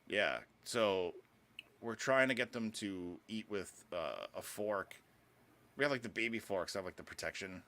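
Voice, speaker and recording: A man's voice: lowish voice, a little slow, pushed and bright, a little hiss or hum. Rambling, easygoing man on a podcast. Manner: rambling, lots of filler words and profanity. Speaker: podcast co-host. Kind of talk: casual podcast chat.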